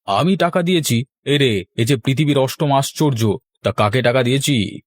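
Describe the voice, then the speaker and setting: A man's voice: medium pitch, expressive, moderate pace, no echo, lightly acted. A man voicing a stingy village husband in a cartoon, protesting in colloquial, dramatic speech. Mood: grumpy. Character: stingy village husband, grumbling and evasive about money. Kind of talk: Bengali cartoon dialogue.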